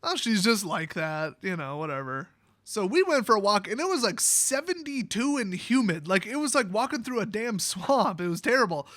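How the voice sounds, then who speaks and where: An American man telling personal stories in a casual, rambling vlog style, animated and prone to exaggeration. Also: high voice, moderate pace, dry recording, studio quality. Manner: animated, exaggerating, quotes people in acted-out voices. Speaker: YouTube vlogger.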